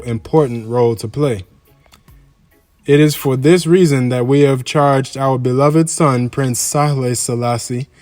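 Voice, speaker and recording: A man reads a formal, stately speech aloud. His delivery is solemn and measured, with an oratorical weight to the phrasing. His pitch sits in the middle range for a man, and music plays behind his voice. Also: a little mellow. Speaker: reader of a historical speech. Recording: music under the voice. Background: background music.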